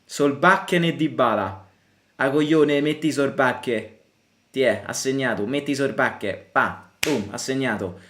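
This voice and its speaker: A man's voice: mid-range voice, moderate pace. Young Italian man hosting a chatty football watchalong. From Italy. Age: young adult. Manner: chatty, answering chat questions between bursts of excited play reactions. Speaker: fan channel host. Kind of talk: casual live football watchalong chat.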